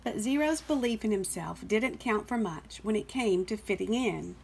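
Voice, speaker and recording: A woman reading a children's picture book aloud as expressive scripted narration. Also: mid-range voice, normal speed, creaky, slight background noise. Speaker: storybook reader.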